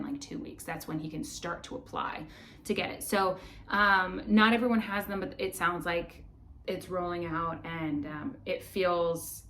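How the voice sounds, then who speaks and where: A woman's voice: on the low side, a bit bright, a little hiss or hum. A woman co-hosting a travel vlog, chatting casually with lots of fillers and restarts. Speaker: vlog co-host. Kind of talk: casual chat.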